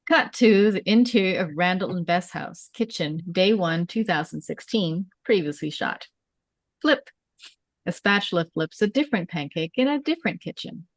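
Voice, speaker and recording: A woman's voice: lowish voice, lively intonation, moderate pace, no room sound. A woman narrating a script at a table read, reading out scene headings and stage directions.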